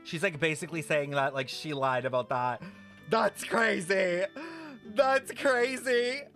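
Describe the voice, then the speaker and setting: A young male game streamer with a fairly high voice. He talks casually and jokingly, heavy on slang, sarcasm and swearing. Soft music runs behind him. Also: measured pace. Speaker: game livestreamer. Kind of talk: casual livestream commentary.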